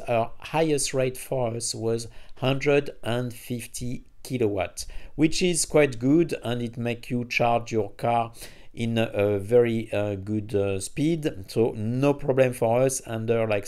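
Man, casual vlog narration, halting, many fillers, mid pitch. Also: a little mellow. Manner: halting, frequent uh fillers, non-native phrasing. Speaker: travel vlogger reviewing EV chargers.

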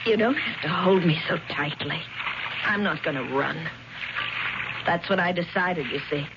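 An actress playing an ashamed young waitress and estranged wife in a 1970s radio drama, hesitant, weary and pleading. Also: female voice, fairly low pitch, moderate pace, lightly acted. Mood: anxious, ashamed. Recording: dull, noisy 1970s radio recording.

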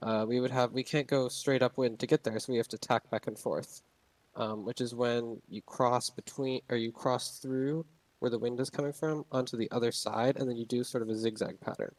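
A man's voice: medium pitch, fairly even intonation, normal speed, no echo, low-quality recording. Rambling young man explaining sailing. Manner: rambling, many ums and restarts. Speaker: game tutorial narrator. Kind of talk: casual gameplay tutorial.

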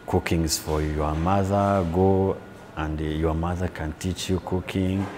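A man's voice: low voice, a little mellow, a little hiss or hum. A man, answering interview questions as a sous chef. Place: indoor room with shelves, seated on camera.

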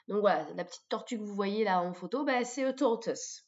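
A French-accented woman teaching vocabulary in a didactic way, repeating words deliberately for pupils. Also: medium pitch, a little mellow. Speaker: English teacher. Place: voice-over of a screen recording. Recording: dull lo-fi microphone sound.

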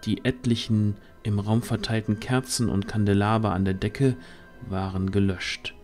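A man's voice: on the low side, level tone, moderate pace, a little mellow, faint music behind. A man narrating a fantasy audio drama as a scripted storyteller.

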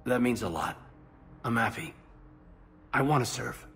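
Earnest soldier with expressive pitch. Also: male voice, a little slow, creaky, faint music behind. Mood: sincere, relieved. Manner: earnest, hesitant, intimate. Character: earnest, soft-spoken male space soldier recovering from injuries. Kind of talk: video game dialogue acting.

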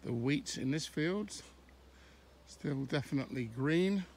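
A middle-aged man from England chatting casually as he films a country walk on his phone, pausing mid-sentence as he goes. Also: a little high, animated, darkish tone. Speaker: walking vlogger. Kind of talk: casual walking commentary. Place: outdoors on country lanes and fields. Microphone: handheld phone camera while walking. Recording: faint outdoor background noise.

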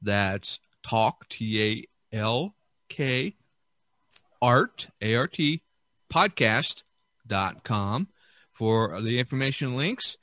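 A man's voice: medium pitch, fairly bright tone, no echo. A middle-aged American man hosting a podcast in a casual, conversational way. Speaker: podcast host.

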